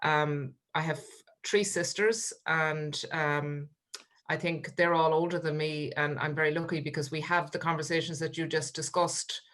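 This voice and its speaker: Low-voiced woman, pausing. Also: fairly even intonation, fairly bright tone. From Ireland, Kerry Gaeltacht. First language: Irish. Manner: measured, pausing, frequent ums and uhs. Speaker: trade union leader, panel guest. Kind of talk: webinar discussion. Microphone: video call.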